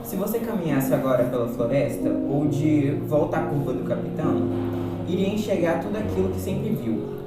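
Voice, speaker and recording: A man's voice: a little high, animated, moderate pace, natural voice. A Brazilian man playing a patient male philosophy teacher who explains things didactically in an amateur radio drama. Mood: patient, explanatory. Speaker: actor in a student radio drama. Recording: very echoey, distant, loud music behind.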